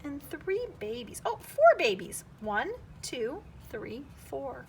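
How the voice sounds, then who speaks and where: A woman teacher reading a children's picture book aloud, expressive, with simple prompts aimed at young listeners. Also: high voice, slow pace, a little hiss or hum.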